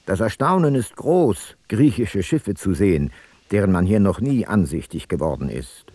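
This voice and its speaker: Ancient Greek voyager in radio drama, formal log entries, lowish voice, reverberant, over music. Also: male voice, big pitch swings, normal speed, mellow. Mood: measured, observing. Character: ancient Greek male seafarer reading out his voyage log. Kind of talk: radio play logbook narration. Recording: very echoey, music behind.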